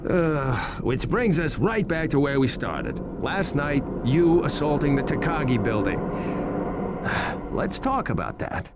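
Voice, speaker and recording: An actor playing a talkative, exasperated investigator in a game cutscene, wry and animated. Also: male voice, fairly high pitch, takes it slowly, very noisy. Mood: skeptical. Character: talkative, exasperated male investigator questioning a suspect. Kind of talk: video game cutscene acting.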